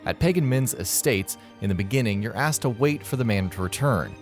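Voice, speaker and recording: A man's voice: medium pitch, expressive, brisk. Male narrator for a scripted gaming countdown, middle register, light music bed. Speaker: narrator of a video game list.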